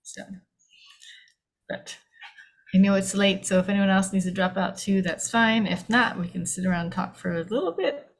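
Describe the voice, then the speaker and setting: A woman's voice: medium pitch, moderate pace. A woman hosting a casual group chat, talking informally to the others on the call. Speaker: host of an online script table read.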